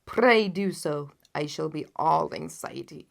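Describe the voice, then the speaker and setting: A woman's voice: deep voice, a little slow, vocal fry, full character voice. A woman voicing a haughty, anxious king in a storybook, speaking in a solemn and emphatic way. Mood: earnest, commanding. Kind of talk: dramatised audiobook reading.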